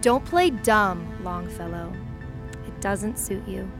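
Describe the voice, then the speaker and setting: An actress playing a haughty, cruel royal villainess in a sci-fi audio drama, pompous and deliberate. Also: female voice, mid-range voice, expressive, over music. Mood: cold contempt.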